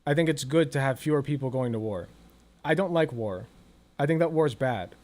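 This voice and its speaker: A man giving casual, sarcastic reaction commentary over a clip, swearing freely and tossing off quick asides. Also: mid-range voice, animated, a little mellow. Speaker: political commentator reacting to a video.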